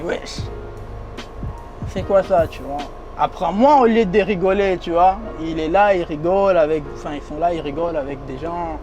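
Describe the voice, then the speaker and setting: A young man with a foreign accent who did not grow up speaking French, talking casually in slang in an interview inside a limousine. He repeats phrases and laughs often. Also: a little high.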